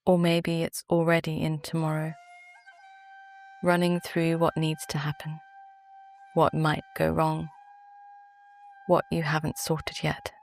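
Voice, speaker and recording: A woman with a British accent gently narrates a guided sleep meditation in a soft, calm, soothing voice. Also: low voice, slow, gravelly, pauses often, soft background music.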